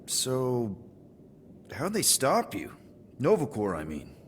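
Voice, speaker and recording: An actor playing a casual, wisecracking space adventurer in a video game, with an informal, flippant way of talking. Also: male voice, mid-range voice, wildly swooping pitch, slow, slight background noise. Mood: curious. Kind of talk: video game cutscene acting.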